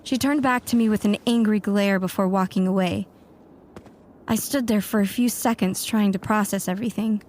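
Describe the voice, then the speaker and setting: A woman's voice: medium pitch, normal speed, a little mellow, slight background noise, close to an everyday voice. A woman acting a young, self-assured warlock student who narrates and speaks in a fan audio drama. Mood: narrating.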